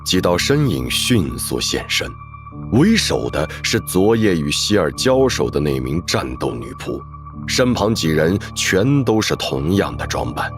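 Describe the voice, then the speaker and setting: Deep-voiced man narrating dramatically. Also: sing-song, unhurried, music in the background. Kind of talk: dramatic scripted story narration.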